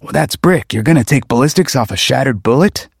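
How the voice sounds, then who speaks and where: A man's voice: mid-range voice, animated, moderate pace, no room sound, studio quality, close to an everyday voice. A man voicing a smug, sarcastic police officer who needles people in a comic story reading.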